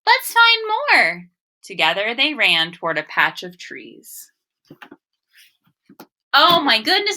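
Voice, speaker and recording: Young woman doing a read-aloud lesson for little kids, really bright voice, slow and sing-songy. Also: a little high. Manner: expressive, sing-song, addressing children with questions. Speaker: teacher reading aloud to young children. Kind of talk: read-aloud story and phonics lesson for children.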